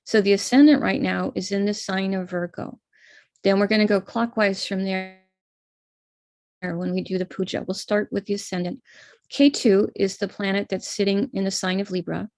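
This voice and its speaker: A woman's voice: mid-range voice, little pitch movement, normal speed, darkish tone, no echo. An American woman, an astrologer, patiently talking viewers through a chart step by step before leading a live-streamed ritual.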